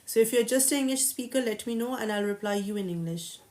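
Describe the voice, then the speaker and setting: A woman hosting a casual live stream who reads viewers' questions aloud and answers them briskly and confidently, mixing English and Urdu. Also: a little high, darkish tone, a little hiss or hum. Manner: confident, matter-of-fact market opinions. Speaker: crypto livestream host.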